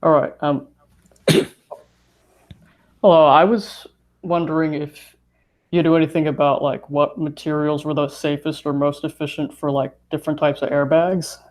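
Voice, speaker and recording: Student caller, flat and tentative. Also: mid-range voice, normal speed, pauses often. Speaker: student asking a question.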